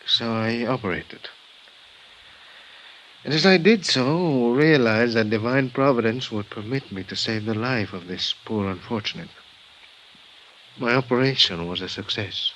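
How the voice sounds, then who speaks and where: Actor doing a grave Mexican doctor. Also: male voice, medium pitch, a bit bright, natural voice, poor audio. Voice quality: measured, hesitant, halting. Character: middle-aged male Mexican hospital doctor, grave and formal. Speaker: actor in radio drama. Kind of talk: 1950s radio drama acting. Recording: old radio broadcast with faint hiss.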